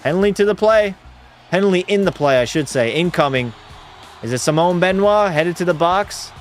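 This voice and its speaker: A male streamer giving casual play-by-play of a hockey game and answering his chat in a relaxed way. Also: sits high, moderate pace, soft background music. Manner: relaxed, drops into terse hockey call mode.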